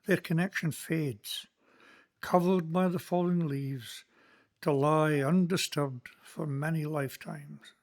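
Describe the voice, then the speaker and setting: An actor with a Scottish accent playing a kindly, earnest Presbyterian minister in a community radio play, speaking in a measured, sermon-like way. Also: male voice, fairly high pitch, steady tone, vocal fry. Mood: nostalgic. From Scotland.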